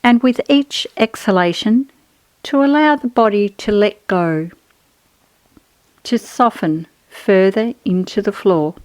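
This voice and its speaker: A woman leads a guided yoga relaxation in a soothing, measured voice of medium pitch. She gives gentle instructions phrase by phrase, leaving pauses between them. The sound is close and dry, with very little room around it. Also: dry recording.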